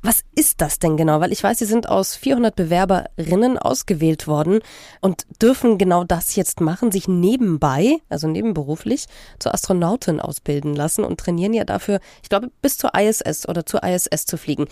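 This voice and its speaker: A woman's voice: medium pitch, lively intonation, fairly quick, warm tone, no echo, clean studio sound. A woman interviewing a guest on the radio, asking long, layered questions.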